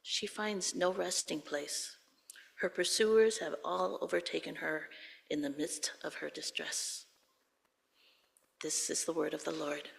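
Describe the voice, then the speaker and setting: A reader gives a solemn scripture lesson at a slow, measured pace. The voice has a gravelly edge. A long church reverb trails each phrase. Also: mid-range voice, fairly even intonation. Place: church sanctuary.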